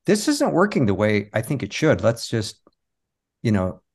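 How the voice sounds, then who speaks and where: A man's voice: medium pitch, big pitch swings, normal speed, a little mellow, husky. A man telling anecdotes casually as an interview guest. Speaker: interview guest, magician and comedy performer.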